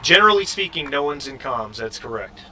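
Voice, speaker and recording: A man with a bright, forward voice runs a tabletop role-playing game as game master. He picks his way through scenes and instructions with halting deliberation, over some background noise. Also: mid-range voice, sing-song, poor audio.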